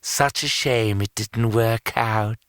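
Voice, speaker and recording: A man's voice: lowish voice, slow, fairly bright tone, smooth, no echo, clean studio sound. An actor playing a sneering, cynical male villain in an audio drama, with a mocking, condescending, theatrical manner. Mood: mocking contempt.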